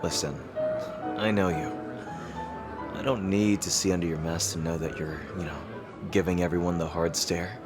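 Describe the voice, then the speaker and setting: A man playing a teasing rival king in an intimate dramatic roleplay, halting and smug yet easily flustered. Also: sits low, steady tone, over loud music, lightly acted. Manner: halting, teasing, with soft chuckles and pauses.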